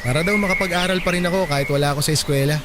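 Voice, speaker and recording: A man's voice: on the high side, very fast, heavy background noise, lightly acted. A man playing a respectful, patient young working son in a Tagalog radio drama, polite and earnest. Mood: reassuring, hopeful.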